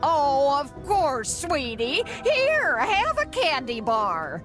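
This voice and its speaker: Kindly doting lady from a cartoon game, slow lilting voice, bit of music behind. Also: sits high, wildly swooping pitch, fairly bright tone, exaggerated voice. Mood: delighted, doting. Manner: sweet, fussing, uses endearments. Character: kindly, doting female cartoon sea creature. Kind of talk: animated video game voice acting.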